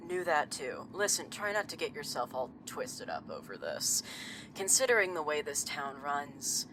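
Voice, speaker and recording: A woman playing a blunt, sardonic seer in an audio drama, speaking in a dry, deadpan manner. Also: sits low, normal speed, background noise. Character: blunt, sardonic woman who sees visions.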